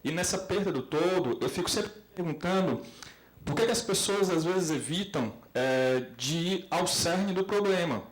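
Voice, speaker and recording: A man putting a question at an academic discussion, reflective and pausing mid-sentence as he builds his argument. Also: high-pitched, lively intonation, low-quality recording. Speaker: participant asking a question at an academic panel.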